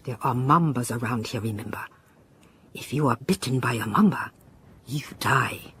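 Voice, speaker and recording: A woman's voice: rumbling low, moderate pace, a bit bright, slight background noise. An actress playing a wary, opinionated old village woman in a radio drama, with a Southern African accent, a cracked voice and emphatic repetitions. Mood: fatalistic, musing. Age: older.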